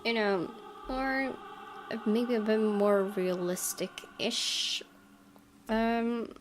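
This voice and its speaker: A woman's voice: fairly high pitch, extremely slow, a little hiss or hum. A woman streamer reading a visual novel aloud and adding casual, musing commentary. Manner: musing, hesitant asides. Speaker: let's play streamer. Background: faint game music.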